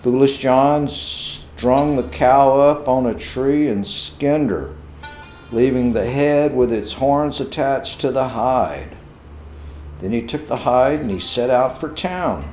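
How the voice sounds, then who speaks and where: A man's voice: medium pitch, slow, a little mellow. A man narrating a scripted folktale as a storyteller. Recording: roomy echo with music behind.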